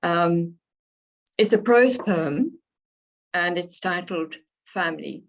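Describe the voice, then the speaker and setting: Slow, hoarse woman poet. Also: fairly low pitch, expressive. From South Africa. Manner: unhurried, reflective, pausing between phrases. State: slightly hoarse from a cold. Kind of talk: poetry reading with spoken introductions. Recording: dull lo-fi recording.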